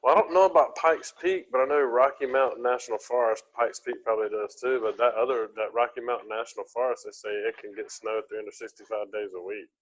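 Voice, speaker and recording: A man's voice: medium pitch, steady tone, fast, hardly any pauses. A man hosting a podcast, chatting loosely and telling rambling stories with hesitant restarts. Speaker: podcast host. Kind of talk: casual chat, rambling anecdotes. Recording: dull lo-fi recording.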